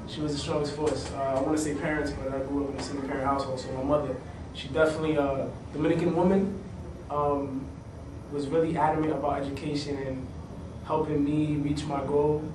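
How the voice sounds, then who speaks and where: Higher-pitched young man, flat, echoey. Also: a little fast. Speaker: interviewee. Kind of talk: casual interview testimony. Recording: echoey, distant mic, noisy.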